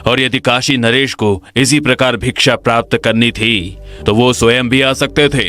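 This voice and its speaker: A man's voice: medium pitch, steady tone, moderate pace, bright, forceful tone, faint music behind, natural voice. A man playing an arrogant, cruel king in a cartoon story, haughty and sarcastic in manner. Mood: mocking. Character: arrogant, cruel, pleasure-loving king, mocking and commanding. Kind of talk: Hindi cartoon story acting.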